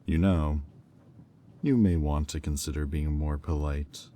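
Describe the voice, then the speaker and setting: A man playing a haughty, menacing demon in roleplay audio, speaking in a condescending, deliberate, taunting drawl. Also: very deep voice, fairly even intonation, dark tone, a little hiss or hum. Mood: threatening, taunting. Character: haughty, menacing male demon buyer, coolly cruel and mocking. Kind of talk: slow, intimate roleplay audio acting.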